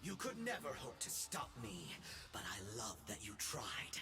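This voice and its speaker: A man playing an arrogant, power-mad young space emperor in a video game, pompous and gloating, swinging between shouts and whispers. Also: lowish voice, huge pitch swings, a little slow, exaggerated voice. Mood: mocking, smug. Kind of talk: theatrical video game voice acting. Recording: loud music behind the voice. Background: music.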